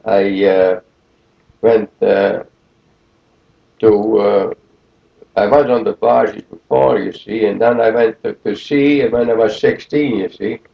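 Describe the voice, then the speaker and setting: A man, recalling the past in a rambling, halting way full of fillers in an oral history interview. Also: fairly low pitch, steady tone, creaky. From Netherlands. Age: 80s. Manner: rambling, halting, many fillers like "you see". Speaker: interviewee, war veteran. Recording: roomy lo-fi 1980 tape.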